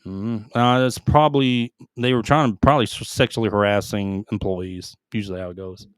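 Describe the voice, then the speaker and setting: A man co-hosting a casual gaming podcast, reading headlines aloud with quick asides and stumbling over foreign names. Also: lowish voice, steady tone, clear, resonant tone, no room sound. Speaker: podcast co-host reading news.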